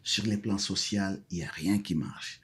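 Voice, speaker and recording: A man's voice: sits low, moderate pace, a bit bright, husky. A male professor from South Kivu, a Mashi speaker, answering interview questions hesitantly and then with emphatic repetition. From South Kivu, DR Congo. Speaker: guest, professor.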